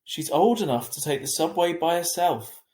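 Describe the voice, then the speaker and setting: Emphatic, exasperated dad read by a man, raised pitch. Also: animated, normal speed, a little mellow, roomy sound, close to an everyday voice. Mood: insistent. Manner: emphatic, insistent. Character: impatient, easygoing father, exasperated but affectionate.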